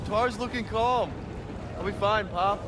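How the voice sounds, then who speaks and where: A young seafaring son in a video game cutscene, eager and sure of himself. His voice is pitched high as he calls out. Loud boat and water noise surrounds him. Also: measured pace. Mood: excited, curious.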